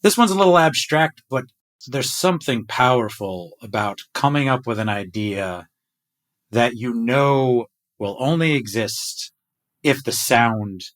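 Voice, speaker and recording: A man's voice: medium pitch, measured pace, a bit bright. A man hosting a podcast, asking long, thoughtful questions in a casual chat. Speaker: podcast host.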